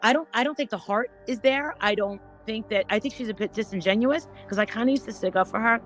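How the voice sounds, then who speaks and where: A woman chatting casually as a panel guest, rambling and haltingly repeating her words as she goes. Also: on the high side, faint music behind. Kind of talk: casual gossip chat.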